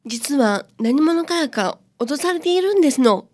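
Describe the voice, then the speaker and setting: A woman's voice: high voice, lively intonation, normal speed. A woman playing a sexy, ladylike, coquettish client in a radio drama. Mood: coy, polite.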